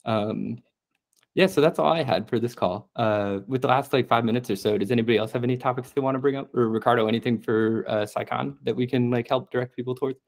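A man's voice: medium pitch, quick pace. Young man hosting a video call. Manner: relaxed, filler-heavy, 'like' often. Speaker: meeting host. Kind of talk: casual video call meeting. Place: room at home.

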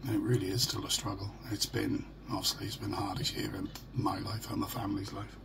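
Croaky, deep-voiced middle-aged British man. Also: flat delivery, brisk. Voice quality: croaky, gravelly. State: hoarse. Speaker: vlogger. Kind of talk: casual personal video diary. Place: small furnished room at home. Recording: noisy home phone or webcam recording.

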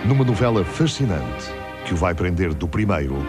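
A man with a European Portuguese accent announces TV programmes. His deep voice is lively in pitch. Loud music plays behind the script. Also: moderate pace. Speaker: television channel announcer. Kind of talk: scripted programme promo voice-over.

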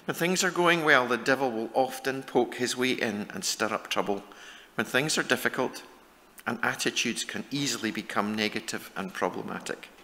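Casting: middle-aged man, lowish pitch, very sharp forceful tone, church devotional, long hall reverb. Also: moderate pace. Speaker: church member leading reading and prayer. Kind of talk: church reading and short devotional address. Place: stage of a church hall, indoors.

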